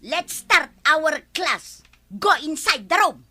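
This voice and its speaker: A woman's voice: sits high, big pitch swings, takes it slowly, pushed and bright, exaggerated voice. A woman playing an irritable, bossy female schoolteacher who scolds in exasperation in an Ilocano radio comedy drama. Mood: stern.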